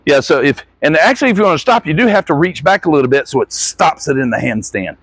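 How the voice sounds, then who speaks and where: A man's voice: a little high, animated, fast talker, fairly bright tone, husky, no room sound. A man coaching gymnastics, giving hands-on instruction with short encouraging corrections as he demonstrates. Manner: encouraging, corrective asides to athlete.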